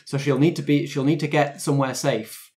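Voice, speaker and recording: A woman's voice: low-pitched, steady tone, brisk, mellow, pitched above the performer's own voice, lightly acted. A game master voicing a friendly, flustered, chatty woman shopkeeper in a tabletop roleplay. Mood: concerned, helpful.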